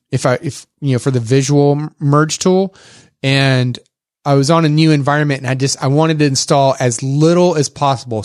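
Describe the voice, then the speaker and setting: A man's voice: mid-range voice, clear, resonant tone, dry recording, clean studio sound. A man with a Texas accent, co-hosting a tech podcast in a rambling, casual way with many fillers and restarts. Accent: Texan. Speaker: podcast co-host.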